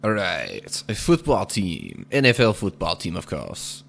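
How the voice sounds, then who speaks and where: A man giving casual gameplay commentary while speaking in a put-on, exaggerated foreign accent, measured and deliberate, chuckling now and then. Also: low-pitched, huge pitch swings, creaky, a bit bright, a little hiss or hum. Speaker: gaming video host.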